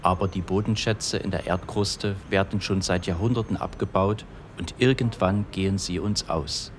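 A man's voice: deep voice, a little hiss or hum, natural voice. A man performing as a matter-of-fact explainer, reading scripted educational narration.

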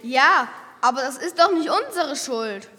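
A female actor plays an excitable, cheeky eleven-year-old with a very high voice. The child exclaims and protests, and the sound carries a long cave-like reverb. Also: a little slow, faint music behind, exaggerated voice. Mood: defensive. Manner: exclaiming, indignant.

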